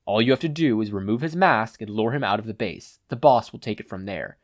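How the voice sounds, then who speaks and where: A finely dressed villain of mist acted out by a story reader, formal and composed. He speaks in a measured way at a medium to low pitch, as in an audiobook. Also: animated, dry recording, studio quality, lightly acted.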